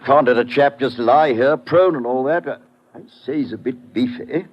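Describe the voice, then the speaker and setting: An actor playing a tweedy upper-class English gentleman with an affected, plummy British accent in old radio style. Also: mid-range voice, moderate pace, a little hiss or hum, natural voice. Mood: jovial, bumbling. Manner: plummy, bluff, affected drawl. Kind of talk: 1940s-50s radio drama acting. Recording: muffled old radio recording.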